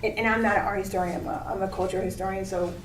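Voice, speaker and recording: A woman's voice: medium pitch, fairly even intonation, very fast. A woman from the United States, a professor, talking casually on a panel and searching for her words. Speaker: cultural historian and professor on a panel. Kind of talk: informal panel discussion. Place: gallery room, picked up from a distance. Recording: echoey room, distant mic, background noise.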